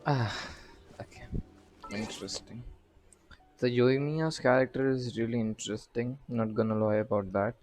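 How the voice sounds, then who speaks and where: A man's voice: medium pitch, normal speed, a little mellow, faint music behind. A man commentating casually on a game playthrough as a streamer, musing aloud in an offhand way. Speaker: gaming streamer.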